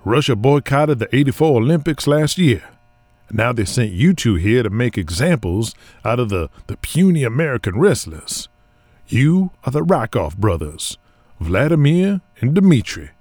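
A man's voice: mid-range voice, sing-song, moderate pace, darkish tone, dry recording, faint music behind. A man voicing a bossy male wrestling promoter in cowboy gear, coaching his wrestlers impatiently.

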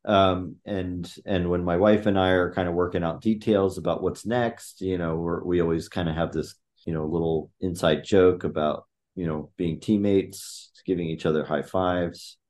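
Deep-voiced man musing with many restarts. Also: steady tone, a little mellow. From Thailand. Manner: reflective, halting with many restarts and fillers. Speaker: podcast guest. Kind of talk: conversational interview.

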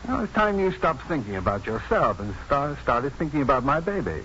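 An actor playing a confident, tender doctor and husband in 1950s radio drama, teasing and measured in his delivery. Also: mid-range voice, big pitch swings, sharp tone. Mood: earnest, reassuring. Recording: dull, faintly noisy 1950s radio broadcast.

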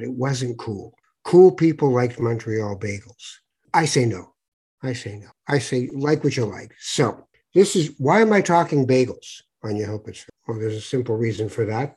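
Chatty husky-voiced man hosting. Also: medium pitch, animated, normal speed, a little mellow. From Toronto, Canada. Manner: chatty, with frequent fillers and restarts. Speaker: podcast host. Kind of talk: conversational interview.

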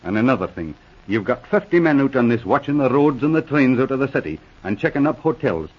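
An indignant, stubborn city cop in a 1940s radio drama. He talks fast in a husky, gruff voice, always ready to argue. The old recording is muffled. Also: medium pitch, big pitch swings. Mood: blustering. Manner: argumentative, gruff, hurried. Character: blustering, indignant city policeman, stubborn and officious.

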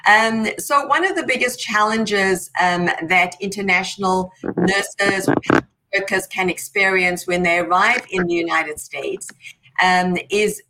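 A woman's voice: mid-range voice, measured pace, bright, forceful tone, a little hiss or hum, low-quality recording. A woman hosting an interview, asking questions with frequent ums and easy laughs. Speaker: podcast host.

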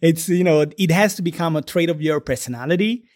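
A man's voice: on the high side, brisk, darkish tone, no room sound, studio quality. A man co-hosting a podcast in casual conversation, hesitant and restarting words with many "uh"s. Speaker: podcast co-host.

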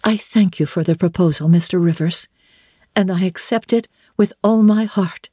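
Lady doing a young governess from a classic novel, calm and direct, polite, soft dark voice. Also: fairly low pitch, normal speed, breathy edge, no room sound, clean studio sound. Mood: resolved, grateful.

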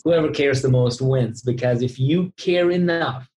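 Podcast host, mid-range pitch, earnest and encouraging, conversational recap delivery with repeated phrases for emphasis. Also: unhurried, a little mellow. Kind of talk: conversational interview, reflective recap.

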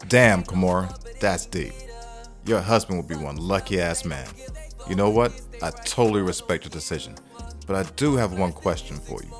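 A young single lover in an audio drama, brash and slangy yet caring at heart. His low voice moves around expressively over faint music. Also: lively intonation, normal speed. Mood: teasing, surprised. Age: young adult. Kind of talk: scripted audio drama acting and first-person narration. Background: background music.

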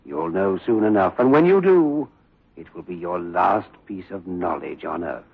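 An actor playing a coldly mocking supernatural agent of Death in 1960s radio horror, speaking ominously and deliberately. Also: male voice, low voice, lively intonation, vocal fry, slightly rough, exaggerated voice. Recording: dull, muffled old radio recording.

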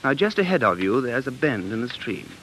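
A man's voice: medium pitch, sing-song, a little fast, a bit bright, slight background noise, rough recording. A man playing an earnest, dreamy American living in London, speaking intensely and musingly in 1940s radio drama style. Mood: eager, persuasive. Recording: 1940s radio broadcast, faint music.

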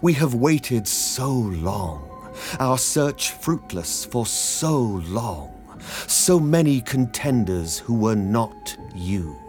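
A man voicing a sinister old male tailor who speaks slowly, emphatically and almost aggressively. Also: medium pitch, sing-song, darkish tone, soft background music. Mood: menacing.

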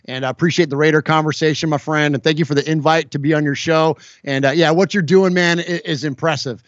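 A man in his forties talks earnestly as a podcast guest, stumbling over his words and restarting often. His voice sits slightly high for a man. The sound is dry and a little dull, as if his line were lo-fi. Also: steady tone, quick pace, dry recording. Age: 40s. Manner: earnest, stammering restarts, heartfelt. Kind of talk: casual podcast chat.

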